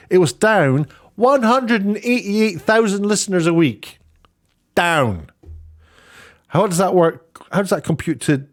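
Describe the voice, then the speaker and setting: A man giving informal, opinionated commentary in an emphatic, halting, sardonic way. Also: fairly high pitch. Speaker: online commentator.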